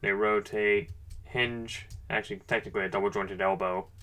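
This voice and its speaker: A man with a somewhat low-pitched voice presents a hobby product review. His delivery is unscripted and conversational. He comes across as an informal enthusiast who talks through each detail casually. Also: fairly even intonation, normal speed, sharp tone.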